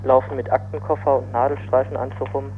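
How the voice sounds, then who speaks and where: Muffled, noisy recording of an even-toned man. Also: medium pitch, fast. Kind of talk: spontaneous spoken commentary. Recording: dull, noisy recording with hum.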